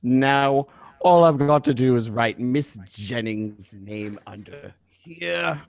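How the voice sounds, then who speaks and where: A man reading the part of a taunting, mischievous schoolmate in a radio play, with a gravelly voice. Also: mid-range voice, slow, no echo. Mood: smug. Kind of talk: live radio drama script reading.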